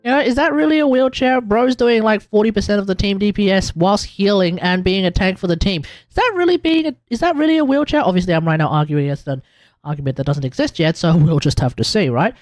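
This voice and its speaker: An Australian man doing casual livestream commentary, blunt and slangy, rambling as he thinks out loud with emphatic turns. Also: high-pitched, fast, dry recording. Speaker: livestreamer, gaming content creator. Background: music in the background.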